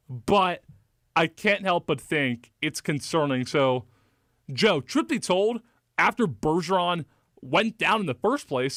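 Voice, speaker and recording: A male sports talk host with a slightly high-pitched voice for a man. He speaks in a polished, emphatic radio style. The sound is dry and close, as from a studio microphone. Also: a bit bright, no room sound.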